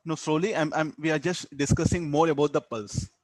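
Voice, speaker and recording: A man with an Indian accent teaching physics, explaining step by step in a halting way, repeating words and tagging on "huh" and "okay". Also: a little high, fairly even intonation, dry recording. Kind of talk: classroom lesson, explaining a worked problem.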